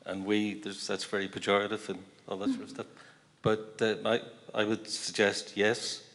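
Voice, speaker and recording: A man's voice: fairly low pitch, little pitch movement, moderate pace, fairly bright tone. A man speaking from the floor at a public meeting, listing figures point by point. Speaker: audience member. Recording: long hall reverb.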